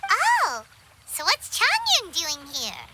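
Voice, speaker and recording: Squeaky, very high tiny fairy. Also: female voice, wildly swooping pitch, takes it slowly, heavy background noise, exaggerated voice. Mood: curious. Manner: squeaky, childlike, inquisitive. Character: tiny, childlike, curious, excitable floating fairy companion. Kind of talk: video game voice acting.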